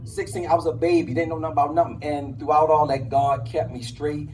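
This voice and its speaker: A man's voice: a little high, flat delivery, brisk, darkish tone. A man in his late forties giving an impassioned personal testimony to a gathering, emphatic and preacherly, with street slang. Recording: distant mic at a live event.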